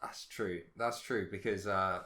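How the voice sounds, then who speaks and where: A man's voice: low voice, steady tone, measured pace, bright, forceful tone, reverberant. A young man with a cold and a slightly nasal voice, co-hosting a casual podcast in a dry, understated way. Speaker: podcast co-host. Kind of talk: casual chat.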